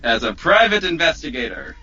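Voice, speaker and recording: A man telling a personal story as a podcast guest in a casual, animated, profane, slangy way. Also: a little high, fairly quick, breathy edge, dull audio, faint music behind.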